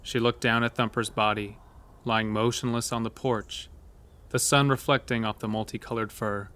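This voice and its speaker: A man's voice: lowish voice, little pitch movement, moderate pace, a bit bright. Man narrating a scripted horror story.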